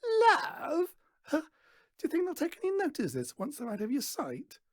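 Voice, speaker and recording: A storyteller voicing a bitter, sulky showman's daughter who snaps, scoffs and yells. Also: sits high, wildly swooping pitch, moderate pace, no echo, clean studio sound, voice pushed up. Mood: scornful. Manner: snappy, scornful, yelling.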